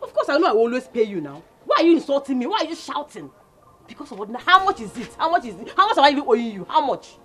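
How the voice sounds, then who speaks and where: A woman's voice: a little high, huge pitch swings, rapid-fire, few pauses. A woman playing a pleading villager. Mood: aggrieved. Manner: pleading, emphatic, repetitive. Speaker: poor village wife. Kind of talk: skit acting.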